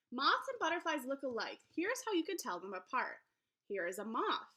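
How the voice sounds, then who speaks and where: Lady teacher reading a kids book out loud, really animated, bright voice, explains stuff simply. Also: fairly high pitch. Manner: expressive, explaining simply to young children. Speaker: elementary school teacher. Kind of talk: children's picture book read-aloud with teaching asides.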